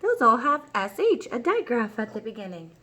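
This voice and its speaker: Woman, children's teacher: encouraging and chatty, slightly high bright voice, simple read-aloud lesson delivery. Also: sing-song, normal speed. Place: at home, small child beside her.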